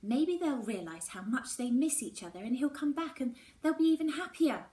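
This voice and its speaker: A woman's voice: high-pitched, sing-song, normal speed, mellow, pitched above the performer's own voice. A woman reading the part of a guarded but reassuring schoolgirl heroine in a storybook, earnest and hesitant. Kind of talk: storybook character dialogue. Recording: roomy echo with faint background noise.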